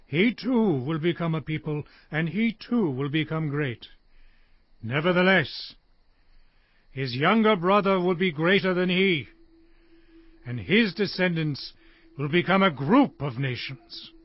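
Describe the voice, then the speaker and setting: An actor playing a frail aged Hebrew patriarch in a dramatized scripture reading, solemnly and deliberately pronouncing blessings and judgments. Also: male voice, a little high, lively intonation, dry recording. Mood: firm, solemn. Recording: muffled lo-fi audio under music.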